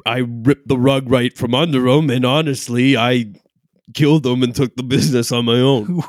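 A man plays a brash bartender desperate for reality-TV fame, who talks in long, rambling, boastful stretches while pretending to be modest. His voice sits at an ordinary middle pitch and sounds close and dry, with no room around it. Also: steady tone, moderate pace, no room sound, lightly acted. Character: brash, self-important male bartender chasing reality-TV fame. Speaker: guest. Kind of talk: improvised comedy character interview.

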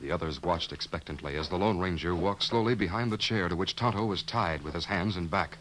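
A man's voice: sits low, brisk, fairly bright tone, a little hiss or hum, poor audio. A man narrating a scripted western adventure in 1950s radio drama style. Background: music.

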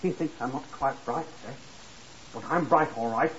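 A man's voice: mid-range voice, moderate pace, a bit bright, a little hiss or hum, close to an everyday voice. An actor in a 1950s radio drama playing a simple, forgetful young working man, deferential and earnest as he rambles. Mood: eager, proud. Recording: dull vintage 1950s radio recording.

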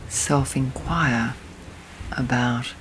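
Woman doing sleep meditation, super slow soft breathy near whisper, bit of rain behind her. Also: extremely low pitch, rough recording. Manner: slow, soothing, long pauses between phrases. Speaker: guided meditation host. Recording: steady storm and rain noise. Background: rain and storm.

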